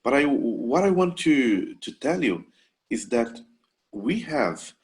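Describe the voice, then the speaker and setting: A Brazilian man, a native Portuguese speaker, asking a question on a video call, halting with many fillers and restarts. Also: medium pitch, very animated. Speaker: webinar attendee asking a question.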